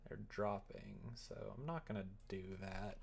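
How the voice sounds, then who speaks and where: A man with a fairly low voice and plenty of vocal fry streams while he works. He talks casually and loosely, muttering to himself as he goes. Dry little joking asides are mixed into the rambling. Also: animated, a little slow, a little hiss or hum. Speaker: live streamer developing a visual novel. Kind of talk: casual livestream chat while working.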